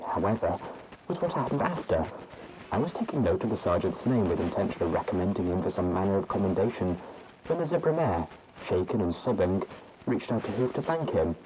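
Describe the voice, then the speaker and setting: A man narrating a fan fiction audiobook in a measured, formal journal-reading manner. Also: low voice, noisy background.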